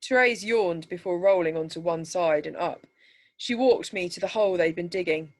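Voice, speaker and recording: A woman reads literary fiction aloud in a slightly low voice. Her narration is measured and deliberate, giving each descriptive phrase its space. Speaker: teacher reading a story aloud. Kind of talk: scripted story narration.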